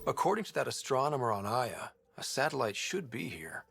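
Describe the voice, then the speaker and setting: An actor playing an easygoing, inquisitive young space explorer and team leader in a video game, casual and questioning. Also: male voice, medium pitch, expressive, fairly quick, vocal fry, a bit bright, husky, faint music behind, full character voice.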